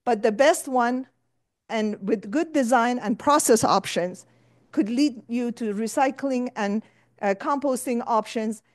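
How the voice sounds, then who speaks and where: A woman's voice: a little high, a little slow, no echo, studio quality. A woman presenting at a conference, explaining a step-by-step process with the odd filler. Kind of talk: conference talk with slides.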